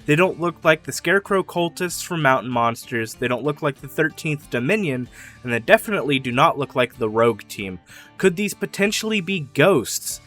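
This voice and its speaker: Bright-voiced young man rambling through fan theories. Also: mid-range voice. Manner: rambling, enthusiastic, with fillers and small laughs. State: getting a little hoarse. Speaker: YouTube theory channel host. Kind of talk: casual solo theory talk to camera.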